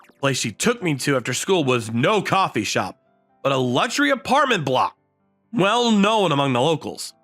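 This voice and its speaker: A man's voice: on the high side, very animated, normal speed, a bit bright, roomy sound, long reverb tail, soft background music. A man voicing an easily flustered, incredulous teenage schoolboy in a romantic comedy comic dub. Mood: inner musing. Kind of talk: anime-style comic dub, dialogue and inner monologue.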